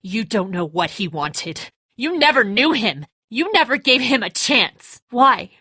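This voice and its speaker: An actress playing a bitter, distraught, hot-tempered grown daughter in a dramatic video game, raw and confrontational. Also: female voice, fairly high pitch, very animated, a little slow, pushed and bright, slightly rough, no room sound, clean studio sound, exaggerated voice. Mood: bitter anger. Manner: raw, emotional, confrontational, sweary.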